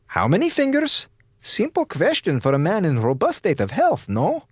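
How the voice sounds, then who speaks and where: Menacing station doctor, swooping pitch. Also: male voice, wildly swooping pitch, slightly rough, no room sound, clean studio sound, full character voice. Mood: stern. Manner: formal, deliberate, condescending, clipped grammar. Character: menacing, coldly patient male station doctor. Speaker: actor in radio drama. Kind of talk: radio drama acting.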